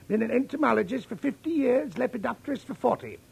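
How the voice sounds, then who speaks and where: A man playing a feisty, eccentric, folksy man in his seventies in a 1940s radio drama, with a raspy, creaky voice. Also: extremely high pitch, animated, quick pace, darkish tone, heavily acted. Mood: chatty, eager. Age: 70s. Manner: folksy, brash, chatty. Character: feisty old man in a wheelchair, eccentric butterfly collector. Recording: vintage 1940s radio recording.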